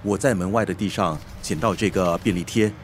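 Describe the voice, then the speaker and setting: A man's voice: low-pitched, fairly quick, slight background noise, close to an everyday voice. A male actor in a radio drama playing a deferential, meddling, worried manservant, hesitant and fussy. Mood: curious, hesitant.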